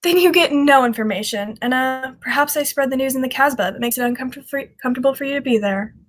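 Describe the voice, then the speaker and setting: A fairly high-voiced young woman of the underworld, acted by a woman, running a shady café in an old radio drama. She is a charming scoundrel, greedy and playful, always wheedling. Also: fast talker, a little hiss or hum, close to an everyday voice. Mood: wheedling, sly. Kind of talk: 1940s radio drama acting.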